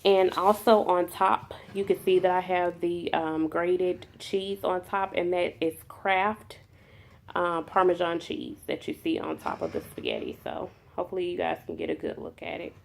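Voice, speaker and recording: A woman vlogger with a cold that makes her nasal, talking softly and unhurriedly to her viewers with many ums. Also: lowish voice, expressive, normal speed, vocal fry, slight background noise. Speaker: mukbang vlogger. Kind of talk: casual talk to camera.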